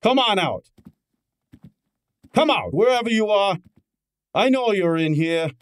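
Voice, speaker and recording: An actor playing a brash, wisecracking detective's assistant in an old-time radio drama, exasperated and sardonic. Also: male voice, sits high, lively intonation, takes it slowly, clear, resonant tone. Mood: urgent, shouting. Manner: exasperated, sardonic, hard-boiled.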